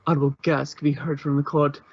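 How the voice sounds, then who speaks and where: Higher-voiced man narrating, very dry sound. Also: flat delivery, quick pace, no echo, dull audio. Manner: informal, occasionally stumbling. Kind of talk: amateur narration of fan fiction.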